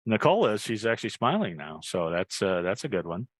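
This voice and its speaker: A man's voice: lowish voice, sing-song, fairly quick, dry recording. An American man hosting a podcast with casual, joking banter that tends to ramble. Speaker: podcast host.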